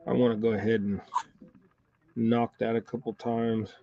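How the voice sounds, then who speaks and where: Host, male, congested, laid-back chatty stream voice, mid-pitched, dry lo-fi home recording. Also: normal speed, creaky, no echo. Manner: relaxed, chatty, greets viewers by name. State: congested, coughing. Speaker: fishing channel host tying jigs. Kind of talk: casual live-stream chat while tying. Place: home room with a TV nearby. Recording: dull, slightly lo-fi dry sound.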